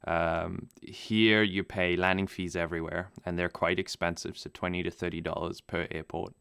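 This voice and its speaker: A young man who grew up in Namibia, native German speaker, answering interview questions in a relaxed, rambling way with many fillers and restarts. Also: low-pitched, moderate pace, vocal fry, clear, resonant tone, dry recording, clean studio sound. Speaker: guest pilot.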